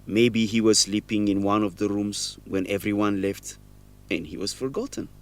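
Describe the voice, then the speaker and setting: An actor playing a guilt-ridden young man in an educational radio drama, speaking earnestly and haltingly with emotion. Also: on the low side, steady tone, lightly acted. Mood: ashamed confessing. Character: guilt-ridden young man, former orphan, confessing a shameful secret.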